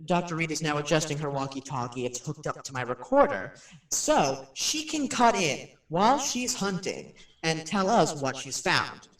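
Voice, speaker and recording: A skeptical radio personality touring a haunted house in a radio play. His voice is fairly high and very animated, with chatty, hesitant on-the-spot narration. It sounds echoey, as if recorded in an open room. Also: moderate pace, recorded from a distance. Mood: impatient, narrating. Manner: chatty, narrates what he sees, hesitant asides. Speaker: radio host. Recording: roomy echo, off the microphone.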